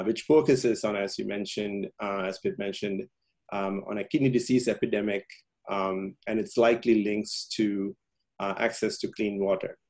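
A man's voice: lowish voice, a little mellow. A man from Sri Lanka giving a public science talk over a video call, with many ums and uhs and frequent restarts.

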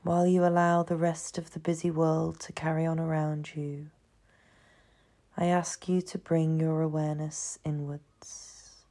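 A woman narrates a guided meditation in a soothing, hushed voice with a gravelly fry at the edges. Her delivery is very slow and evenly pitched, with long pauses between short phrases. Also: little pitch movement.

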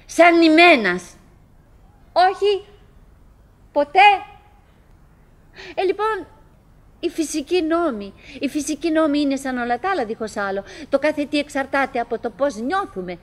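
An actress playing a chatty middle-aged wife in a theatrical radio drama monologue, halting and musing, by turns coaxing and murmuring, wistful, fretful and forcedly cheerful. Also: female voice, sits high, lively intonation. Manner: halting, musing, self-interrupting, swinging between coaxing and murmuring.

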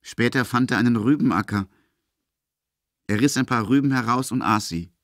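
A man's voice: mid-range voice, moderate pace, a bit bright, no room sound, studio quality. A man narrating a literary story for a German audio drama as scripted prose.

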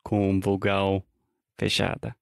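Guy co-hosting a portuguese podcast, slow and steady, keeps asking questions, dry mic. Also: low voice, gravelly, smooth, dry recording. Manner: asks questions and checks understanding. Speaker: podcast co-host. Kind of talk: casual language-teaching chat.

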